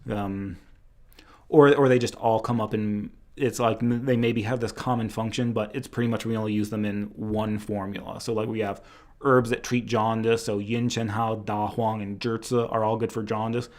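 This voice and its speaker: A man's voice: lowish voice, level tone, normal speed. A young man teaching Chinese medicine in a casual live-stream review, rambling a bit with restarts, his voice tired after two hours of lecturing.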